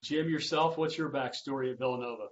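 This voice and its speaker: Male roundtable moderator and sports announcer, mid-pitched, conversational, on muffled lo-fi audio. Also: brisk. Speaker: roundtable host and sports public address announcer.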